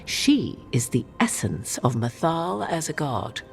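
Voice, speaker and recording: A proud, cryptic sorceress who speaks in old-fashioned, measured phrases. Her voice rises and falls widely as she lets out her secrets one at a time. She sounds aloof and sure of herself. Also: extremely low pitch, very animated, gravelly, faint music behind, exaggerated voice. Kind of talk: video game cutscene acting.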